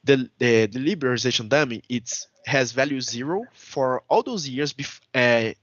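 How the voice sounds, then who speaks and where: A man's voice: mid-range voice, normal speed, fairly bright tone, no room sound. A young man with a Brazilian Portuguese accent gives an academic conference talk in a careful, hesitant way, often stopping to rephrase. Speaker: conference presenter. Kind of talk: academic paper presentation. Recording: dull, dry video call audio.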